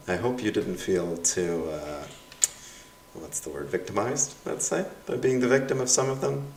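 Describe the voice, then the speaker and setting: A young adult man, a university instructor whose first language is Russian, reads aloud his course evaluations in a casual, wry, self-mocking way with lots of fillers. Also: sits low. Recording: roomy sound from a distance.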